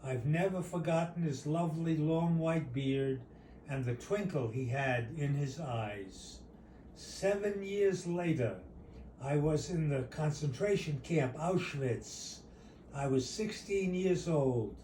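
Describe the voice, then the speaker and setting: A man reading his own short story aloud as its author, in a measured, deliberate storytelling manner. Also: a little high, mellow. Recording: roomy, distant mic, faint noise.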